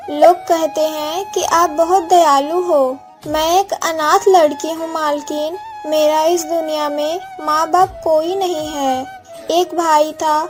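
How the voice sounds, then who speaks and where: A woman plays a wronged orphan girl from a village cartoon, high in pitch and unhurried. She speaks with earnest drama and a proud heart, with music playing underneath. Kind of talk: Hindi moral-story cartoon dubbing.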